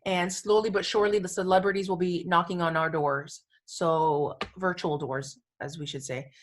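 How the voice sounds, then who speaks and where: A woman's voice: fairly low pitch, steady tone, normal speed. Emphatic woman co-hosting a podcast. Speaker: podcast co-host. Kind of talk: casual podcast chat.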